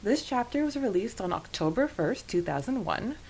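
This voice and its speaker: A woman's voice: medium pitch, very animated, moderate pace, creaky, darkish tone, low-quality recording. A woman hosting a podcast that discusses a book, mixing prepared notes with talk. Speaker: podcast host. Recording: noticeable background hiss.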